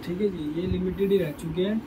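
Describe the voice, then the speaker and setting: A slightly hoarse man working as a shopkeeper, selling fabric and repeating prices and fabric widths in his sales talk. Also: high voice, fairly even intonation, fairly quick, poor audio. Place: fabric shop in a market. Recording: busy market crowd behind.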